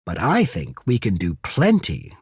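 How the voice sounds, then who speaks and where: Game role: polished trading company grand secretary, husky and melodically varied, measured diplomat with veiled scorn, dry studio sound. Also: male voice, fairly low pitch, huge pitch swings, darkish tone, no room sound, heavily acted. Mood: diplomatic. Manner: measured, diplomatic, subtly disdainful, formal wording. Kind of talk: video game character voice acting.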